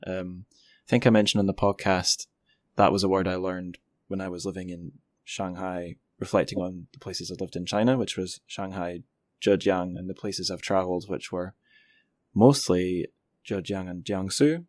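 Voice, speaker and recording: Low-voiced man, dry close sound. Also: fairly even intonation, normal speed, no echo. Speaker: podcast host, interviewer. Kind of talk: casual interview conversation.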